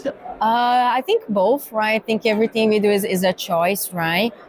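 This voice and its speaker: A woman with a Brazilian accent talks through her answers at a medium pitch, pausing on "uh" and "like" as she thinks. She checks in with a tag "right?" after her points. It is a relaxed, earnest interview at a trade exhibition. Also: crowd noise. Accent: Brazilian Portuguese. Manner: thoughtful, many fillers and tag "right?". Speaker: guest, pharma cargo executive. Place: exhibition hall.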